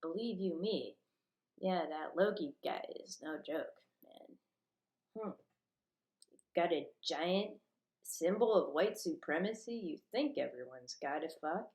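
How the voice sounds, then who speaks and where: A woman's voice: on the low side, lively intonation, measured pace, a little mellow, roomy sound, lo-fi sound. A woman delivering a rambling, profane rant that jumps from topic to topic in an agitated manner.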